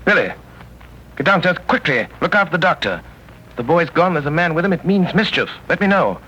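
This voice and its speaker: A man playing a cool, witty, aloof gentleman detective in a 1930s radio drama, with clipped, dry delivery and drawling hesitations. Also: on the high side, expressive, fairly quick, breathy edge, full character voice. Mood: alert, commanding. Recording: muffled, hissy 1930s radio recording.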